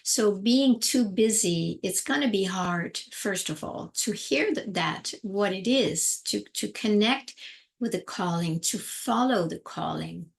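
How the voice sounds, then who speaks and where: A middle-aged woman speaks slowly and unhurriedly, her voice carrying a gravelly fry. She talks calmly as a spiritual teacher, with a middle-range pitch, often pausing to find the right words. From Denmark. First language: Danish. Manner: calm, unhurried, searching for words. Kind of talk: reflective spiritual talk.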